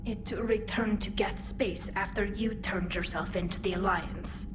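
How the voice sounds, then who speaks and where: A woman's voice: medium pitch, fairly even intonation, unhurried, over music, lightly acted. An actress voicing an earnest, hesitant young alien woman, a self-doubting admiral in a video game cutscene. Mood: matter-of-fact, regretful. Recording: roomy echo with clear background noise.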